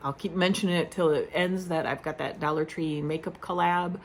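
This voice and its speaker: A woman's voice: on the low side, steady tone, brisk, slight background noise. A woman vlogging casually at home, rambling through questions with lots of fillers and self-deprecating asides. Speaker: beauty vlogger. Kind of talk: casual chat to camera.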